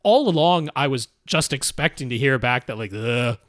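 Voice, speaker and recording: A man's voice: medium pitch, expressive, normal speed, no echo. Male podcast host, mid-range voice, chatty explainer, dry studio sound. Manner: explanatory, quotes people in asides, frequent restarts. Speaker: podcast host giving home theater advice. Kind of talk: casual podcast chat.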